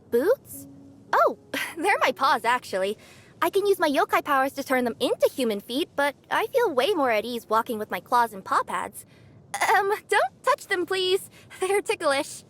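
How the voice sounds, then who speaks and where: An actress voicing a cheerful young female cat-yokai courier for a video game, bubbly and bashful, quick to fluster. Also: high-pitched, a bit bright. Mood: playful. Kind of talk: video game character voice lines.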